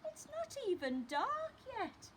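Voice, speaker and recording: A woman voicing eager, cheeky, indignant young elephant children in an excitable way, for a storybook. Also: extremely high pitch, slow pace, background noise, pitched above the performer's own voice, exaggerated voice.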